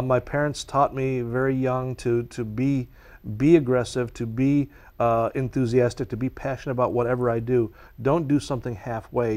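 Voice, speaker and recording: A man's voice: mid-range voice, a little mellow. Earnest, passionate man in interview. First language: Czech. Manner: earnest and passionate, speaks at length. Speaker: guest, nature educator. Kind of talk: conversational interview answers.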